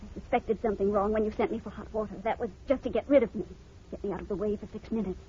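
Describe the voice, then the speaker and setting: An actress playing an anguished, passionate young wife in a 1940s radio drama, with melodramatic urgency. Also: female voice, mid-range voice, fairly even intonation, fast talker, dark tone, lightly acted. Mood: furious, accusing. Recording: dull, hissy vintage radio recording.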